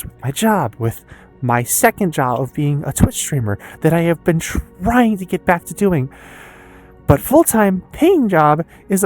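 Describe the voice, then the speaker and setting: A woman in her early twenties with an American accent talks candidly and casually in a confessional personal vlog, rambling haltingly with pauses and some swearing. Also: sits low, darkish tone. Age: early 20s. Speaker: vlogger and streamer.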